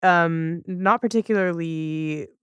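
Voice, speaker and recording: Casual interview host: smooth, clean voice, playful, curious, dry close recording. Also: mid-range voice, slow, no room sound. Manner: playful, inquisitive, chatty. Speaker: podcast host.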